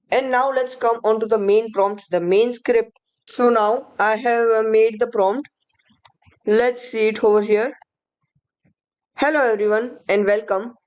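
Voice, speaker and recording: Woman explaining a software tool. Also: medium pitch. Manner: chatty, explains step by step with fillers. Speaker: course instructor. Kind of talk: screen-recorded software tutorial.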